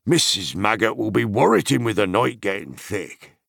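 A man voicing an old male hobbit farmer who talks in a gruff, hearty country dialect. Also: lowish voice, very animated, moderate pace, fairly bright tone, no room sound, studio quality, pitched above the performer's own voice, exaggerated voice.

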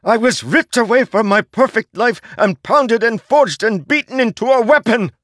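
A man voicing a young male warrior, pleading and defiant, pushing toward desperate shouts. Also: fairly low pitch, lively intonation, measured pace, no echo, studio quality, pitched above the performer's own voice. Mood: anguished.

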